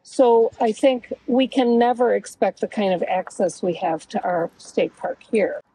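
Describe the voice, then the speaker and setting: A middle-aged woman with a mellow, mid-pitched voice gives conversational interview answers. She explains in a measured way, and slight background noise shows she is recorded outdoors. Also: low-quality recording. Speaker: interview guest, local author. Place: outdoors at a beach picnic table.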